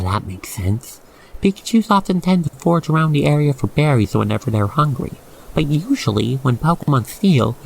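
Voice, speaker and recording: Man, ASMR roleplay narrator, highish pitch, gravelly fry, theatrical wordy storytelling, speaks to the listener, ambience behind. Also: slight background noise, poor audio.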